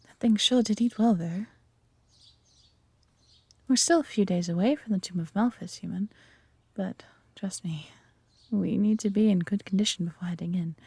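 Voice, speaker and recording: A woman's voice: mid-range voice, moderate pace, long pauses, darkish tone, slightly rough, no room sound. A woman with an English accent playing a friendly, adventurous elf archer in a roleplay audio drama, talking conversationally to the listener. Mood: friendly, chatty.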